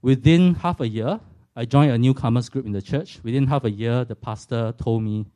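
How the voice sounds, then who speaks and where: A man's voice: mid-range voice, moderate pace, warm tone. A man in his forties from Singapore with a Singaporean accent, giving an earnest, reflective personal faith testimony to a church audience, with touches of self-deprecating humour.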